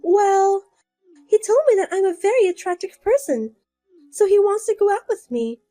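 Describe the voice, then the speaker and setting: A woman's voice: extremely high pitch, sing-song, normal speed, warm tone, faint music behind, voice pushed up. A woman voicing a scheming younger sister who taunts and boasts in a sing-song way. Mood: smug.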